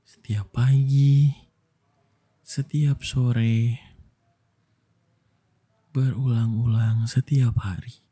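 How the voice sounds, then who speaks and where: Young male ASMR storyteller. Unhurried, very dark soft tone, soothing bedtime delivery. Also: mid-range voice, steady tone. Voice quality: soft, breathy, husky. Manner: slow, gentle, soothing delivery with long pauses. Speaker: ASMR voice actor telling a bedtime story as a boyfriend.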